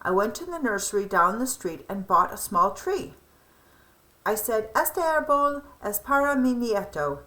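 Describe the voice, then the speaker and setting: A woman voicing an affectionate, proud old grandfather telling family memories in a children's storybook, with fond, animated storytelling. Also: on the high side, measured pace, heavily acted, poor audio.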